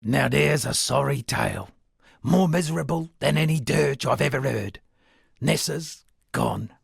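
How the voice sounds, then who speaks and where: An actor voicing an eccentric washed-up sea captain and would-be poet in a video game, gravelly and husky, with theatrical, rambling, florid delivery. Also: male voice, mid-range voice, a little slow, no echo, clean studio sound, heavily acted. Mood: wry, musing. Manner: theatrical, rambling, florid old seafaring turns of phrase. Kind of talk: video game character dialogue.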